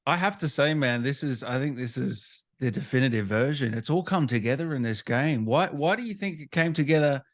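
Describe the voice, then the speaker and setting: A man's voice: mid-range voice, a little fast, hardly any pauses. A man hosting an interview in a casual, enthusiastic, fan-like way. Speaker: interview host. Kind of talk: casual chat.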